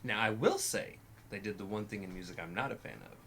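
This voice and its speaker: A man's voice: lowish voice, fast talker, noisy background. A man co-hosting a music reaction, chatting casually and cracking playful jokes. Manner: playful, joking. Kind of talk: casual chat.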